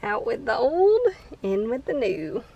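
Higher-voiced American woman, unhurried vlogger. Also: sing-song, creaky, warm tone. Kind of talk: casual how-to vlog narration. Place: inside an open-top parked vehicle.

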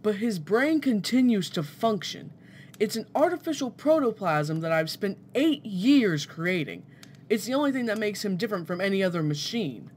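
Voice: mid-range voice, sing-song, moderate pace, husky. An actor playing a proud, genial inventor professor in a formal, theatrical 1940s radio style. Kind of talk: 1940s radio drama acting.

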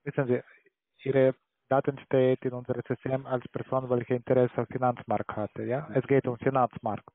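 A man with a foreign accent speaking non-native German as a call centre salesman, pitching haltingly and tagging sentences with "ja". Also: little pitch movement. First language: not German. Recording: tinny narrow phone line.

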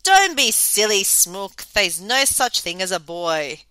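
A woman voicing a brisk, sceptical monster mother in a children's story, firm and scolding. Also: mid-range voice, big pitch swings, sharp tone, clean tone, no echo, exaggerated voice, poor audio. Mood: dismissive.

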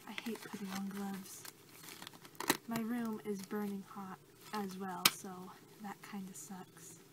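A young woman talks slowly and softly through a casual ASMR role-play as a researcher. Her pitch is average for a woman and her delivery is halting and unhurried. It is a homemade bedroom recording with clear background noise. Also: level tone. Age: young adult. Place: small bedroom, indoors. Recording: clearly noisy home recording.

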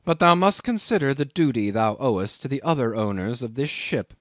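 A man's voice: medium pitch, expressive, fairly quick, no echo, studio quality, lightly acted. A man voicing a stingy, pious old Quaker ship owner in a storybook reading, preaching steadily and solemnly. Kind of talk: audiobook character acting.

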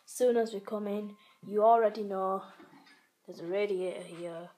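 A woman's voice: mid-range voice, steady tone, measured pace, mellow. A woman with an English accent giving a casual tour of her home, talking in a chatty, unsure way with lots of fillers while filming on a handheld phone indoors. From England. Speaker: vlogger giving a house tour. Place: home kitchen and living room, indoors. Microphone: handheld phone camera while walking. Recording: noisy phone audio with handling clicks.

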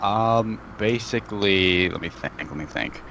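A man chatting as a podcast guest over a call, halting and apologetic. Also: lowish voice, vocal fry, fairly bright tone. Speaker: podcast guest, web series co-director. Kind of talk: casual podcast chat. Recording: dull, faintly noisy call audio.

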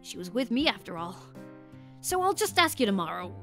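A woman voicing a caring, earnest penguin secret agent in a cartoon game story, speaking gently and casually. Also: medium pitch, sing-song, fairly quick, faint music behind, pitched below the performer's own voice. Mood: warm, casual.

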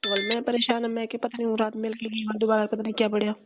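A woman's voice: fairly high pitch, completely flat delivery, racing, noisy background. A woman with a Saraiki accent chatting casually and intimately as a caller on a recorded phone call. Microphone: telephone line.

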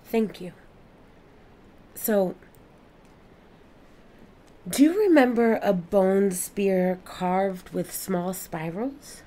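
Audio play: a polite, patient female witness-taker, mid-range voice, formal and measured. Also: medium pitch, mellow. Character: polite, patient female interviewer gathering people's memories. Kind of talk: audio drama acting.

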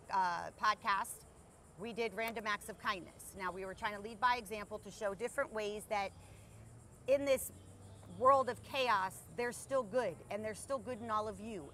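A woman's voice: medium pitch, fairly bright tone, slight background noise. A middle-aged woman hosting a podcast, speaking passionately with repeated phrases, recorded outdoors in a garden on a lapel mic. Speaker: podcast host. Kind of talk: podcast interview intro.

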